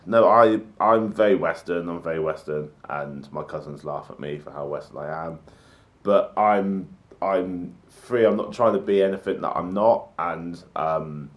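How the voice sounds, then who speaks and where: A young man answering interview questions casually and candidly about his family. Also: deep voice.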